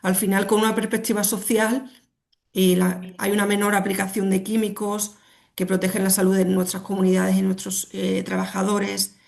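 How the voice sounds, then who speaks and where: A woman explaining agricultural practices on a webinar call, steady and explanatory with frequent fillers. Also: mid-range voice, normal speed, gravelly. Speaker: webinar panelist. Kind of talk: online webinar presentation. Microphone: video call.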